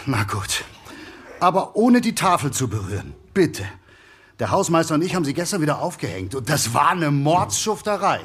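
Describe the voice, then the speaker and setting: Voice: mid-range voice, big pitch swings, moderate pace, a bit bright, husky. An actor playing an indulgent, easily flustered schoolteacher with a hesitant, exclaiming manner in a children's radio play. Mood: patient, firm.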